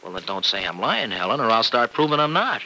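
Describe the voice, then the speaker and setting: An actor playing an earnest, plain-spoken young farmer with folksy, insistent delivery in an old-time radio soap. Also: male voice, medium pitch, big pitch swings, brisk, bright, forceful tone, heavily acted. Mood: intense, tender. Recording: noisy vintage radio recording.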